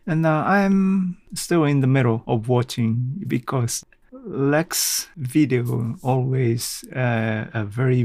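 A man's voice: mid-range voice, vocal fry, darkish tone, dry recording. A man hosting a casual solo podcast in an audio-blog style, speaking hesitantly and searching for his words. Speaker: podcast host.

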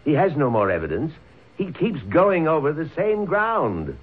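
Scornful male prosecutor: rough-edged, overbearing delivery, narrow phone-like vintage radio sound. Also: on the high side, huge pitch swings, measured pace, heavily acted. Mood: scornful, objecting. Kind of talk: old-time radio drama acting. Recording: phone-like old radio recording.

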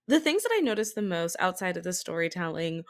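A woman's voice: fairly low pitch, very animated, fast. Giggly woman chatting casually. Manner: giggly, chuckles while talking. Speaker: podcast co-host discussing anime. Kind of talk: casual podcast chat.

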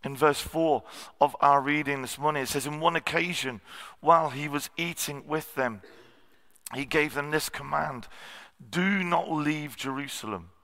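A man, a guest preacher with a slightly husky voice, giving a sermon with many ums and cleared throats. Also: a little high, unhurried, bright, forceful tone. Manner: self-deprecating, frequent ums and throat clearing. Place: church hall. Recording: long church hall reverb.

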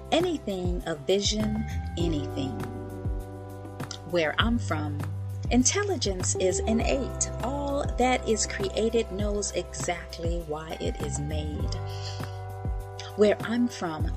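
A woman storyteller performing spoken-word poetry in a measured, deliberate, dramatic way. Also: on the low side. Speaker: featured storyteller and poet.

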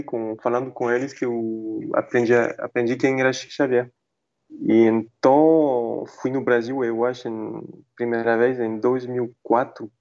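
A man with a French accent, talking in a language he learned as an adult. He is mid-pitched and halting, full of fillers and restarts. His voice sounds dull and lo-fi, as if recorded over a call. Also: darkish tone. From France. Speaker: guest. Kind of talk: casual interview chat.

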